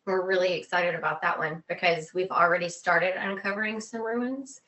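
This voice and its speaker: A woman's voice: lowish voice, steady tone, normal speed, sharp tone, low-quality recording. A woman chatting casually and informally as a guest in an interview. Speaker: guest, expedition group co-founder.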